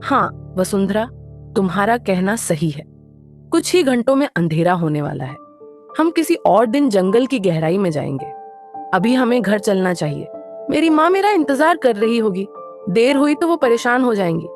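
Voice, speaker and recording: A woman voicing a young, kind-hearted mermaid in a cartoon story, earnest and expressive. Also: medium pitch, normal speed. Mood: agreeable. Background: music.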